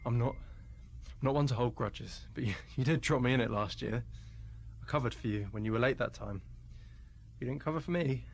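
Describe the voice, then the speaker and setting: A man playing an evasive, aggrieved low-ranking worker in a radio play, rambling and hedging. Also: normal speed, slight background noise, lightly acted.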